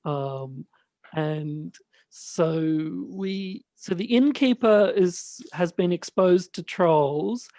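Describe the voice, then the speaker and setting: A man with a high, light voice hosting a casual podcast and directing, speaking in a hesitant, halting way. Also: dark tone, dry recording. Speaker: host and radio play director.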